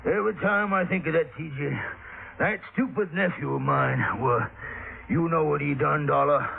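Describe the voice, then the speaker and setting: An actor playing an irascible, bullying middle-aged businessman from the American South in a 1950s radio drama, gruff, sputtering and repeating his words. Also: a little high, normal speed, exaggerated voice. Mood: fuming. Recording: old radio recording, narrow phone-like band.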